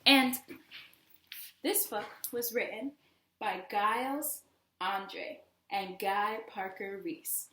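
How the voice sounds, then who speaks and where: A woman reading a children's storybook aloud as a teacher would, with expressive, deliberate storytelling. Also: mid-range voice, slight background noise. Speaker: read-aloud host, teacher. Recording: echoey room.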